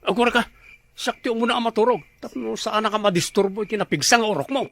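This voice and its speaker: A man's voice: high-pitched, quick pace, creaky, a bit bright, dry recording, clean studio sound, full character voice. A man playing a teasing, bickering husband and father in a comedy drama, with an Ilocano Filipino accent.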